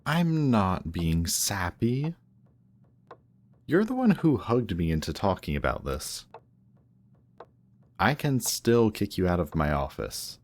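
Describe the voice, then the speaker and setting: A man playing a grumpy, guarded villain who is secretly softhearted, speaking haltingly and defensively in an intimate one-sided roleplay audio. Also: low voice, a little hiss or hum. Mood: defensive, stubborn. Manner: halting, defensive, reluctantly tender. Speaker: voice actor.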